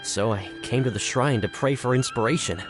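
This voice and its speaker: A man's voice: mid-range voice, fairly quick, lightly acted. A man playing a scatterbrained, self-deprecating writer in a video game, with a hesitant, exclamatory delivery. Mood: discouraged. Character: scatterbrained, dramatic writer out of ideas. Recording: music behind the voice.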